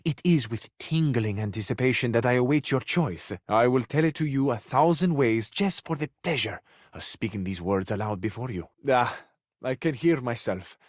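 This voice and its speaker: An actor playing a romantic Italian lover in a video game, with an Italian accent and a flowery, courtly, tenderly flirtatious manner. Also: male voice, mid-range voice, moderate pace, no echo, clean studio sound, close to an everyday voice. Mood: yearning, flirtatious. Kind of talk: video game character acting, romantic dialogue.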